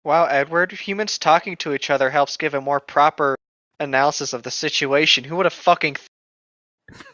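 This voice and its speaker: A woman's voice: low voice, normal speed, fairly bright tone, no room sound, muffled recording. A woman co-hosting a casual read-aloud podcast, mocking the book with sarcastic, irreverent commentary and plenty of swearing. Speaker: podcast co-host reading a novel aloud with commentary.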